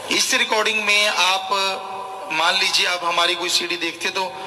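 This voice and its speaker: A man's voice: sits high, fairly even intonation, moderate pace, bright, forceful tone. A man preaching a colloquial religious sermon in an admonishing, conversational way. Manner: admonishing, conversational, acts out short imagined dialogues. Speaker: spiritual preacher. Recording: echoey hall with long reverb.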